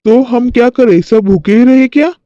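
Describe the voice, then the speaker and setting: A man's voice: very high voice, normal speed, warm tone, no room sound. A man voicing a bossy, needling older husband and father-in-law in a cartoon story, on a slightly muffled recording. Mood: insistent. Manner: instructing, needling.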